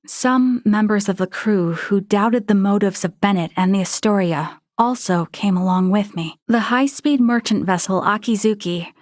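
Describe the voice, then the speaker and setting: A woman's voice: mid-range voice, no echo, clean studio sound, close to an everyday voice. A woman voicing an earnest, principled young female first officer of a starship in a video game, with formal, precise diction.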